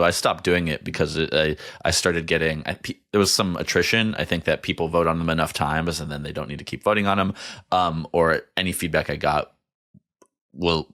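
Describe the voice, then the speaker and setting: Male podcast host; low voice, quick conversational questions. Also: a bit bright. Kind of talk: interview questions.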